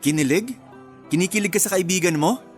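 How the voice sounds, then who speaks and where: A man's voice: high-pitched, fairly quick, faint music behind, natural voice. An actor playing a teasing, smitten engaged man in his thirties in a romantic radio drama. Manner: playful, flirtatious teasing.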